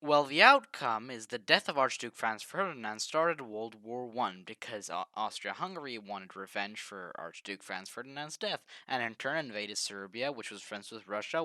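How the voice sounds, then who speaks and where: A man's voice: mid-range voice, expressive, normal speed, few pauses, bright, forceful tone, dry recording. A man hosting an informal comedy history video, narrating in a jokey, slangy, irreverent way. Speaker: host of a history video series.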